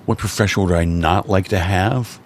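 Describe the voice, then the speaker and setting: A man's voice: sits low, steady tone, normal speed, a little hiss or hum. A man from Cincinnati, a guest in casual chat, joking in a dry, self-deprecating way. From Cincinnati, Ohio.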